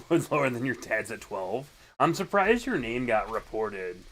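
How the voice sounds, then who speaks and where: Rambling young male streamer, deep voice. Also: very animated, unhurried, fairly bright tone. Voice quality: deeper voice. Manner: rambling, self-correcting, chatting to viewers. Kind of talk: casual stream chat while setting up.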